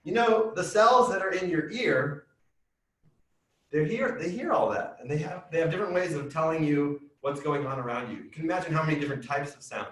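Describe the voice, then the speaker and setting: A man, a music teacher, explaining science to children in a chatty, excitable way with many fillers. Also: fairly high pitch, fairly quick. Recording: echoey room, distant mic.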